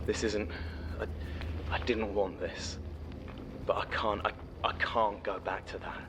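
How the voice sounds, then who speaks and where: Actor as a halting, slow, frightened man. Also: lowish voice, little pitch movement, noisy background. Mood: anguished, reluctant. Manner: halting, faltering speech. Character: reluctant, guilt-ridden man, frightened and trapped. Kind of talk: audio horror drama acting.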